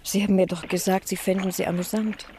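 An actress plays a sheltered ageing niece in a radio play. She sounds wistful and shy, with a hesitant, subdued delivery at a middle pitch. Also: little pitch movement, quick pace, noisy background, close to an everyday voice. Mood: guarded, shy. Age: middle-aged. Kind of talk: German radio drama acting.

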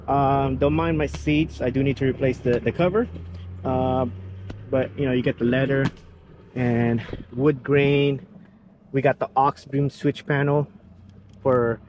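Rambling man on a truck walkaround. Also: a little high. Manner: relaxed, rambling, with many fillers and restarts. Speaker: vehicle builder showing his truck. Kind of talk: casual walkaround vlog. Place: outdoors beside a parked vehicle. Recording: faint outdoor background noise.

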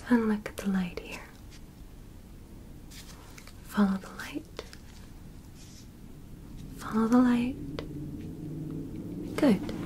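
A young woman playing a calm, curious female alien medical examiner in an ASMR roleplay, in a soft, breathy whisper with a gentle clinical manner. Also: a little high, background noise. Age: young adult. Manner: slow, gentle, clinical, politely instructing. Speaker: ASMR performer. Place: small bedroom set, indoors. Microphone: close microphone.

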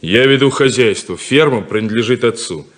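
An actor playing a stern, skeptical elder son who runs a farm, curt and sarcastic, in a 1970s Soviet radio play. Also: male voice, medium pitch, moderate pace, close to an everyday voice.

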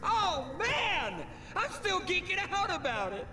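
A man voicing a gloating young fanboy supervillain in an animated game, excitable and mocking. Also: extremely high pitch, takes it slowly, roomy sound, full character voice. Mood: gushing, gloating. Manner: excitable, mocking, stammering gush. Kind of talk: animated film-style game dialogue.

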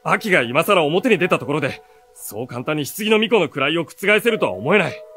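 An earnest teenage boy, a quick-witted hero in a fantasy radio drama. His voice is on the high side and moves expressively, with music around it. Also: sing-song, pushed and bright, slightly rough, exaggerated voice. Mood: earnest, reasoning. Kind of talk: radio drama acting with first-person narration.

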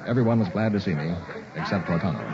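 Actor as deep-voiced, earnest young medical student. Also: male voice, fast talker, a faint crowd, a little hiss or hum, natural voice. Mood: grave, brooding. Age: young adult. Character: suspicious, earnest young medical student narrating his tale. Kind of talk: 1960s radio drama acting. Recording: muffled old radio recording, loud music behind.

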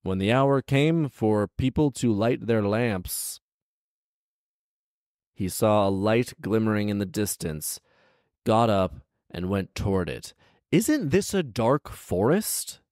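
A man in his thirties with a lowish voice, recorded dry and close. He tells a story in a casual, comic way, slipping between reading aloud and exasperated, bantering asides. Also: expressive, slow, a little mellow, dry recording. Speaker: storyteller and host. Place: small living room, indoors. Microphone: handheld microphone held close.

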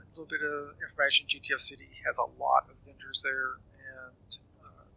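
Raspy, bright-voiced man explaining a game. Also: medium pitch, no room sound, low-quality recording. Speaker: gamer giving a tutorial. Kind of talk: casual game walkthrough commentary.

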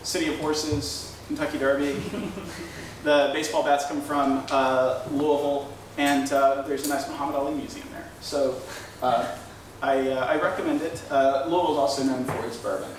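Male American keynote speaker, halting conference delivery, heavy room echo with faint noise. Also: medium pitch, fairly even intonation. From United States. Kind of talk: conference presentation with anecdotes. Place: indoor conference room at a lectern. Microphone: handheld microphone. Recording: echoey room, distant pickup, faint noise.

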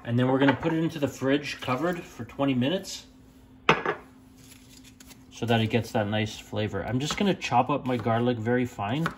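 A young man hosting a home cooking channel, talking through a recipe step by step in a relaxed, plain-spoken way with small fillers and self-corrections. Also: medium pitch, normal speed, background noise. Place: home kitchen at the stove.

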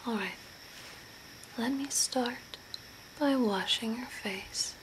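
Woman as a slow, whispering zombie woman. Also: fairly high pitch. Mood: soothing, attentive. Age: young adult. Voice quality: breathy whisper. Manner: slow, deliberate, softly spooky. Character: eerie, courteous zombie woman giving makeovers. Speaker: ASMR role-play performer. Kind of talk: whispered ASMR role play. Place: small room indoors by a curtained window. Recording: clear background noise, ambient hiss.

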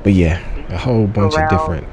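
Deep-voiced man, casual app demo. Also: fast talker, a loud crowd. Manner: slangy, joking, offhand. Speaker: app reviewer. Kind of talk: casual unscripted screen-demo review. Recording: clearly noisy background.

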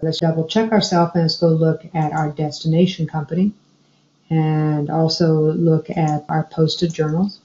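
A woman explaining software step by step as a trainer narrating a screencast tutorial. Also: low-pitched, little pitch movement, normal speed. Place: indoor room, screen recording voice-over. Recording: dull, roomy sound.

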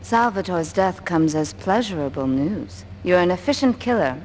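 A commanding female crime boss from a video game, played by a woman. She speaks in a low voice, cool and sardonic, choosing formal, measured words. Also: lively intonation, heavy background noise, lightly acted. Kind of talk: video game cutscene acting.

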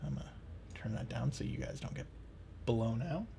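A man's voice: medium pitch, normal speed, creaky, mellow, slight background noise. A man in his thirties hosting a tutorial, talking casually through each step. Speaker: tutorial host.

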